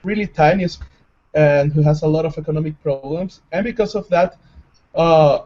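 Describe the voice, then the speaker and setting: A man from El Salvador, interviewing a guest and often pausing to search for words. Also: fairly high pitch. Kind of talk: interview questions. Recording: slightly dull lo-fi recording.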